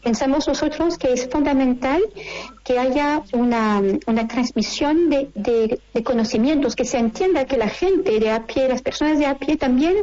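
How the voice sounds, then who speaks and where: High-pitched, mellow woman with a French accent. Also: muffled recording. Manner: halting, searching for words with repetitions. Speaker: interview guest, course director. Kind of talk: conversational interview, explanatory.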